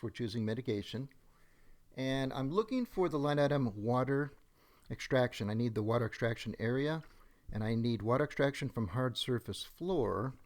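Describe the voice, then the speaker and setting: A middle-aged man narrating a software demonstration step by step, methodically thinking aloud as he goes. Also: medium pitch.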